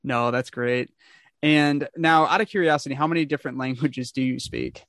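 A man's voice: medium pitch, normal speed, a bit bright, no echo. Young man, host, mid-range voice, dry sound, chatty, upbeat. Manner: chatty, upbeat, rambling with restarts. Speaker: podcast host. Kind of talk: casual interview.

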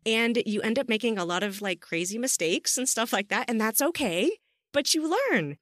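A woman's voice: fairly high pitch, expressive, moderate pace, a bit bright, no echo. Effusive woman podcast host, dry sound. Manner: effusive, supportive, informal. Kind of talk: casual interview chat.

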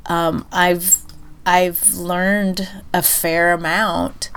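A woman co-hosting a podcast, chatting casually and thinking aloud with many pauses and fillers, her voice stuffy and nasal from a cold. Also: lowish voice, flat delivery, a bit bright, clear, resonant tone. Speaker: podcast co-host. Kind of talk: casual chat.

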